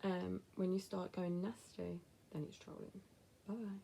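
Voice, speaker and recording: A woman's voice: on the low side, flat delivery, unhurried, gravelly, warm tone, a little hiss or hum. A woman in her thirties with a West Midlands accent, chatting casually to camera as she answers viewer questions, blunt and with whispered asides. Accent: West Midlands English. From England.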